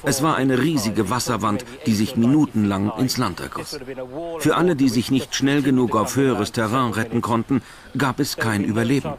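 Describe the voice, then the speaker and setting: A man's voice: mid-range voice, normal speed, crowd noise. A man giving explanatory expert commentary as a documentary voice-over.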